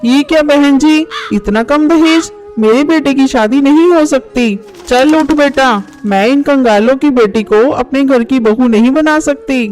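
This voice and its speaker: A woman's voice: high-pitched, unhurried, a little mellow, soft background music. A woman voicing a greedy, haughty middle-aged mother-in-law crow in a moral-story cartoon, scolding and pushy. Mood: indignant, scornful.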